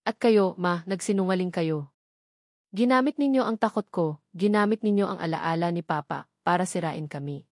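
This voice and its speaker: A woman's voice: lowish voice, moderate pace, a little mellow, no echo, natural voice. An earnest young son played by a woman narrator in a story drama, firm and pleading. His words are emphatic, in a dry recording. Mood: resolute, hurt.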